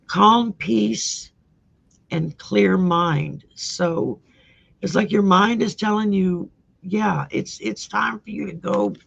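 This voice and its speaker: A woman's voice: lowish voice, creaky, breathy edge, dull audio. A woman with a Cajun Louisiana accent hosting a live stream, giving a casual, folksy tarot reading with frequent ums. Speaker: host and tarot reader.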